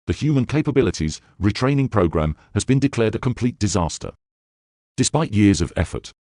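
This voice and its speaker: A solemn prime minister addresses his citizens in a formal, grave tone, as a man plays him. His deep voice rises and falls in wide swings. The sound is close and dry. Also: a little mellow, dry recording. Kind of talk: scripted narration of a future scenario.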